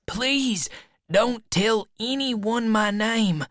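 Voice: medium pitch, very slow, fairly bright tone, dry recording, clean studio sound, voice pushed up. A reader voicing an earnest, anxious boy in a storybook, with urgent and pleading delivery.

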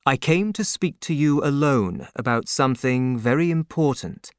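A man voicing an earnest, humble young gentleman who speaks in a sincere, measured way. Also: medium pitch, clean tone, no echo, studio quality, natural voice.

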